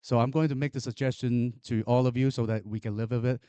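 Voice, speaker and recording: A man from Hong Kong, Chinese as his first language, speaking English with a Hong Kong accent from the floor of a conference, halting and repeating words as he builds to emphatic points. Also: mid-range voice, fairly even intonation, warm tone, clean tone, dry recording. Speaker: audience member.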